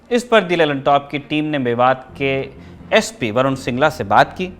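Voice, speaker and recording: A man's voice: fairly high pitch, a bit bright. A man hosting a news show, delivering scripted commentary in a formal, emphatic, rhetorical manner.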